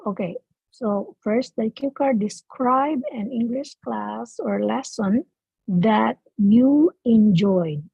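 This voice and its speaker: A woman acting as examiner on a video call, reading out speaking test questions in a measured way and repeating the instructions. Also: fairly high pitch, gravelly, dark tone, slightly rough, no echo. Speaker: IELTS speaking practice examiner. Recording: muffled video call audio.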